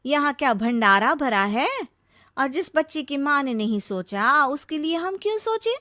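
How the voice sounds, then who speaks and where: A woman's voice: sits high, animated, normal speed, sharp tone, no room sound, clean studio sound, pitched above the performer's own voice. A woman storyteller voicing a sarcastic, indignant, selfish young sister-in-law.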